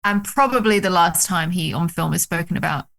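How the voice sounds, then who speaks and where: A woman from the UK, a documentary director, talking excitedly about her film on a video call, with many fillers. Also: fairly low pitch, brisk, creaky. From United Kingdom. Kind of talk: casual interview chat.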